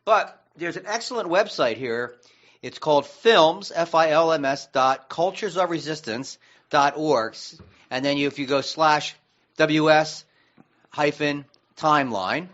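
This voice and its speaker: An American man co-hosting a radio show in casual discussion, rambling haltingly and often restarting mid-sentence. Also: fairly high pitch, a bit bright, highs cut off.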